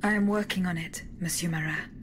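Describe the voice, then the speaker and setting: An actress playing a resolute female automaton in a video game, speaking in a formal, measured and terse way. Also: fairly low pitch, steady tone, slight background noise, close to an everyday voice. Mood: calmly determined. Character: poised, resolute female clockwork automaton huntress. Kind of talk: video game cutscene acting.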